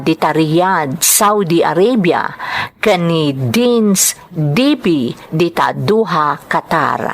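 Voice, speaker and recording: A woman narrates as host of a drama show. She delivers scripted greetings and reads a letter aloud. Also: fairly low pitch.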